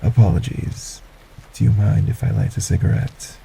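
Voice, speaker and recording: Polite, quietly menacing stranger, played by a man: dark mellow tone, soft breathy delivery, formal manners, faint background noise. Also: lowish voice, steady tone, moderate pace. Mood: smooth, courteous. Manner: formal, courteous, softly menacing. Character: polite sinister male stranger, secretly a kidnapper. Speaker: audio roleplay voice actor. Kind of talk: audio roleplay acting.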